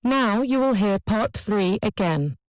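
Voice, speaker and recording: A woman's voice: medium pitch, lively intonation, slow pace, no room sound, poor audio. A woman announcing scripted listening test instructions in a formal exam recording.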